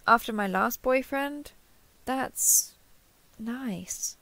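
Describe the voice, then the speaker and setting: A woman's voice: fairly high pitch, drawn-out words, gravelly, bright, forceful tone, dry recording, exaggerated voice. A woman playing a kindhearted, awkward young woman who gets easily flustered, speaking hesitantly and earnestly in an intimate roleplay audio drama.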